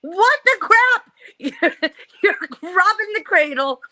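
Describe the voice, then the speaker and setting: A woman's voice: very high voice, animated, slow pace, sharp tone. A woman in her forties, chatting with family on a live stream, teasing and laughing often. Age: 40s. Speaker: guest, sister of the host. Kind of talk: casual family chat.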